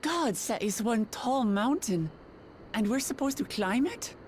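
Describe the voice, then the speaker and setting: A woman's voice: on the high side, lively intonation, a little slow, a little mellow, a little hiss or hum. An actress voicing a frank, plucky female adventurer in a video game, with a wry, direct manner. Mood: awed.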